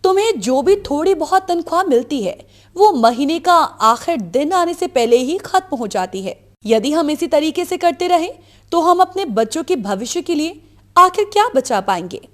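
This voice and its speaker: A woman's voice: high-pitched, sing-song, moderate pace, pitched above the performer's own voice. A woman voicing a practical, persuasive village wife in a storybook tale. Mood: worried, pleading. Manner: earnest, persuasive. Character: worried, practical village wife urging her husband. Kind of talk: storybook character dialogue.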